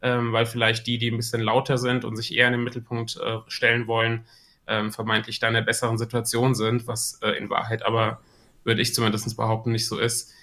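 A man's voice: mid-range voice, completely flat delivery, brisk, pushed and bright. A man with a Hessian accent, a podcast guest talking about marketing in a thoughtful way with many filler sounds. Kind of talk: casual podcast interview.

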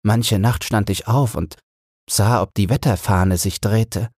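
A fond old wife played by a man reminisces in a bedtime storybook reading. The delivery is slow and smooth, lifted well above the reader's own pitch, and recorded dry. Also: steady tone, darkish tone, dry recording. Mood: sorrowful longing. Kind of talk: audiobook fairy-tale character reading.

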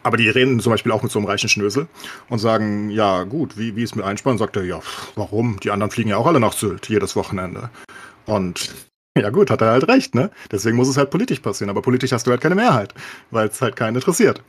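A man's voice: on the low side, expressive, quick pace, husky. A man in a casual, opinionated discussion as a podcast co-host, talking colloquially with English swear words thrown in.

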